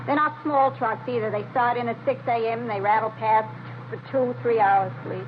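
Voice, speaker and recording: A woman's voice: fairly high pitch, fairly even intonation, moderate pace, close to an everyday voice. Actress as a grumbling, lonely older woman, noisy old radio. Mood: matter-of-fact, grumbling. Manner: chatty, matter-of-fact, complaining. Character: lonely, plain-spoken older woman neighbor, wistful and grumbling. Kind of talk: 1940s-50s radio crime drama acting. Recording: noisy, dull vintage radio recording.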